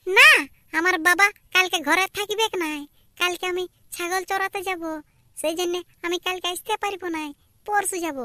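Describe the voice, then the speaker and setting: Very high, squeaky little boy. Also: steady tone, moderate pace, bright, forceful tone, dry recording, clean studio sound, heavily acted. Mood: eager, chatty. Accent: Purulia Bengali. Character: stubborn, wheedling little village boy. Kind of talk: amateur cartoon comedy dialogue.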